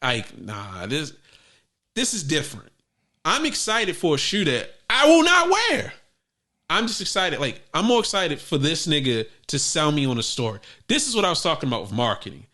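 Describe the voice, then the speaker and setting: A man co-hosting a casual sneaker podcast, talking in a profane, animated way that jumps up loud when he jokes. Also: a little high, normal speed. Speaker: podcast co-host. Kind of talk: casual chat.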